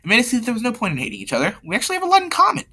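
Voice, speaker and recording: A friendly, anxious teenage girl in a storybook tale acted out by its reader. The voice sits higher and swings brightly, with a bustling, fretful manner. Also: wildly swooping pitch, racing, slightly rough, full character voice. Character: anxious, friendly teenage girl organizing her birthday party.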